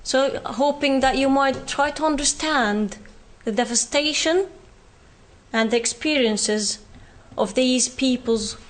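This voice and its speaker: High-voiced woman with a Palestinian British accent. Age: young adult. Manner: earnest, pausing often with fillers. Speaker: guest speaker. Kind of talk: university talk from personal experience.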